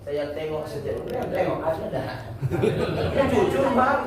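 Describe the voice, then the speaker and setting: A man preaching a lively mosque sermon, putting questions to the congregation and answering them himself. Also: high-pitched, measured pace, a loud crowd. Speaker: Islamic preacher. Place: mosque prayer room. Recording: echoey room, distant mic, faint noise.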